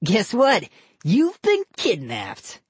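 A smug, giggly prankster boy, as a narrator plays him, bragging about a trick. The voice is pitched well above the reader's own and bounces around a lot. Slightly husky and dry. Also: huge pitch swings, slow pace, dry recording, exaggerated voice. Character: boastful prankster boy Vinny.

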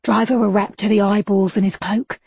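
A woman's voice: mid-range voice, fairly even intonation, fairly quick, a little mellow, no echo. A woman voicing Billy the Bolter, a shifty male Victorian street informant with a slangy voice, in an audiobook. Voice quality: rough slangy street talk. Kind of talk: audiobook character voice.

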